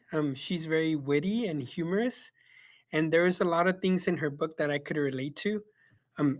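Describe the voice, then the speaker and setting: Young male reviewer, slightly high voice, halting and filler-heavy delivery, casual. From El Salvador. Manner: hesitant, many ums and restarts. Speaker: book reviewer. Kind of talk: casual spoken book review. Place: Yakima, Washington.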